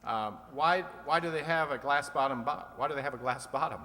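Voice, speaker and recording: A man's voice: mid-range voice, fairly quick, pushed and bright, lightly acted. A man playing a wisecracking, teasing comedian who hosts an old-time radio quiz show, needling guests with mock-obtuse questions. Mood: genial banter. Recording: echoey hall with long reverb.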